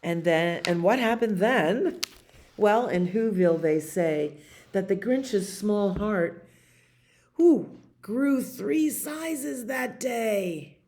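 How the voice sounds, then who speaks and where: A woman in her sixties doing an expressive children's storybook read-aloud, with dramatic pauses and emphasis. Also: mid-range voice. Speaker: library storytime reader. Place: small library community room, indoors.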